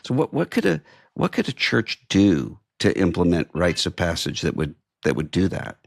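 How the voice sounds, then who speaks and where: A man interviewing in a thoughtful, conversational way, building his questions haltingly. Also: deep voice, big pitch swings, vocal fry, dry recording, studio quality.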